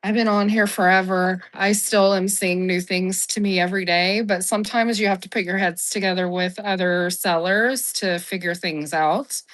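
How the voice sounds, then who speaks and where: A woman hosting a live-selling stream, hoarse as she recovers from the flu, chatty and apologetic, with plenty of ums. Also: medium pitch, fairly even intonation, moderate pace, hardly any pauses, fairly bright tone, low-quality recording. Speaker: live-selling app host. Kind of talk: casual livestream seller-support chat.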